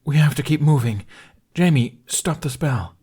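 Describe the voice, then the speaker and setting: A man's voice: fairly high pitch, animated, normal speed, mellow, breathy edge, voice pushed up. Man voicing a protective young man, urgent, clipped commands, a bit raised.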